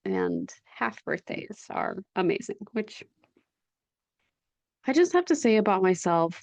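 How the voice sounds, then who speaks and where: A woman's voice: medium pitch, darkish tone, highs cut off. Reflective woman in her forties hosting a podcast. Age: 40s. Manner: candid, reflective, conversational. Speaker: podcast host. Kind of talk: solo podcast monologue. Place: at home.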